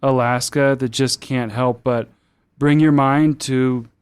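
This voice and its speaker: A man hosting a podcast, asking long, measured questions of his guest. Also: mid-range voice, fairly even intonation, clean tone, no echo. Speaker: podcast host. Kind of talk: interview questions.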